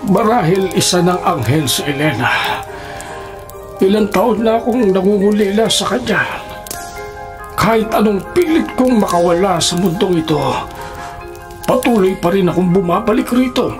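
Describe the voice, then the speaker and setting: A man playing the cursed ghost of an aged man, sorrowful and menacing, in slow, formal radio drama style. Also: sits high, animated. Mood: grieving. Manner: slow, formal, archaic, theatrical delivery. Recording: loud dramatic music behind. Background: dramatic music.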